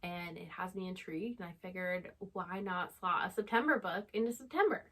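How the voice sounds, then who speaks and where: A woman's voice: fairly low pitch, a little fast, a bit bright. A woman co-hosting a book channel, talking in a casual, unscripted conversational style.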